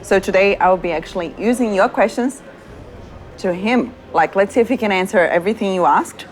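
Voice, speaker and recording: A woman's voice: mid-range voice, brisk, a little hiss or hum, low-quality recording. A woman with a Brazilian accent, a product manager cheerfully reading viewer questions to a colleague in a casual chat, laughing often. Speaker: product manager hosting a community Q&A.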